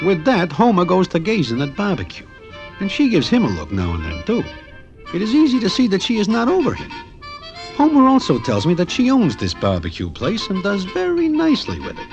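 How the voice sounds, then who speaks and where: A jittery New gambler who tells his tale in formal street slang. His voice is high and leaps around dramatically, in old radio style, with music behind him. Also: huge pitch swings, fairly quick, exaggerated voice, poor audio. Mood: wry storytelling. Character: nervous wisecracking Broadway gambler narrating his own tale. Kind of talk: 1940s radio acting.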